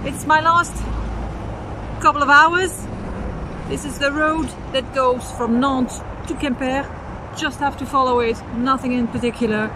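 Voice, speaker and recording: A woman's voice: sits high, steady tone, unhurried, distant mic. Upbeat chatty woman vlogging from her car. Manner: chatty, upbeat, self-mocking. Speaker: travel vlogger. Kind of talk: casual road trip vlog. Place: inside a car, later outdoors at a rest stop. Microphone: camera on the dashboard at arm's length. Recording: noisy car and roadside sound, mic set back. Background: road and engine noise.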